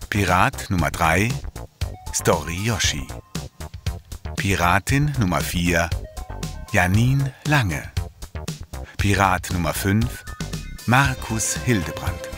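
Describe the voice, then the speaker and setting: A man narrating a retro sci-fi audio drama in a dramatic, deliberate announcing style. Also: low-pitched. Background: music.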